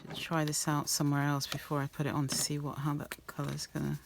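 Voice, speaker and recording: A woman rambling softly with many ums and restarts as she works on an art journal page, her voice a little croaky. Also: sits low, completely flat delivery, fast talker, noisy background. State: slightly croaky voice. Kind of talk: casual craft-along chat.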